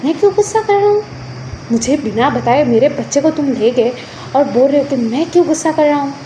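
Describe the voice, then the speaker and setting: Stubborn, anguished father in a told story, acted by a woman; high, mellow-toned, accusing, insistent. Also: normal speed, a little hiss or hum, close to an everyday voice, poor audio. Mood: angry, accusing. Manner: accusing, repeats questions insistently. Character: anguished, stubborn, protective parent, a man, quick to anger.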